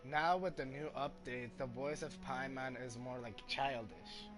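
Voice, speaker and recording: A man doing casual livestream game commentary, explaining things in a hesitant, halting way and repeating himself. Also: mid-range voice. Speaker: game streamer. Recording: game music behind the voice.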